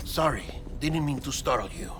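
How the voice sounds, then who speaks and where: An actor playing a composed, reassuring male colleague in a video game, speaking in an earnest, explanatory, measured way. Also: a little high, animated, loud music behind the voice, lightly acted. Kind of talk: cinematic video game dialogue.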